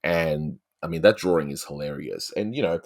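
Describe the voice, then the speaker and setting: A man's voice: extremely low pitch, moderate pace, gravelly. A man with an Australian accent giving casual, rambling, chatty commentary as the host of a review show. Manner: rambling, chatty, peppered with "you know".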